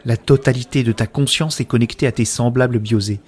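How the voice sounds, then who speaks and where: A man's voice: medium pitch, steady tone, fairly quick, a little mellow, slightly rough, close to an everyday voice. A man playing a sceptical, passionate man in a French science fiction audio drama, articulate and argumentative. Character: Abel, sceptical, passionate man challenging a close companion.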